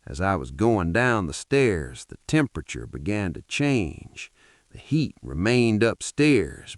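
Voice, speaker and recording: A man's voice: deep voice, no room sound, studio quality. A man with a Nigerian accent narrating a folktale dramatically in the first person, as a podcast storyteller.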